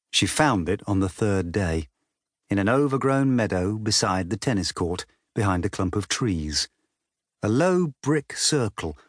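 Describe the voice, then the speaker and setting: Male storybook narrator, lowish register, lively intonation, dry studio audiobook sound. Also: no room sound.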